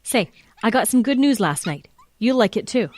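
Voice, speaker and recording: A woman's voice: fairly high pitch, lively intonation, normal speed, background noise, lightly acted. A woman playing a kindly, chatty mother in a children's radio drama, affectionate and homely.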